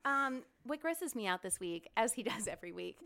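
A woman comedian with a high voice chatting on a podcast, telling stories in an animated way and mocking people with put-on voices. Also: moderate pace, vocal fry. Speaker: comedian guest. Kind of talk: casual podcast chat.

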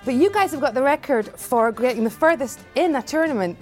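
A woman with a bright, high voice banters in a teasing, mock-proud way on a comedy panel show. Her pitch swings widely, and faint music sits behind her. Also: animated, brisk. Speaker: panel guest.

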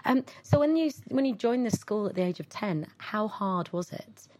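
A woman's voice: medium pitch, expressive, normal speed, darkish tone. A woman hosting an interview, chatty and effusive, piling on enthusiastic superlatives. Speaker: interviewer host. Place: theatre in Leeds.

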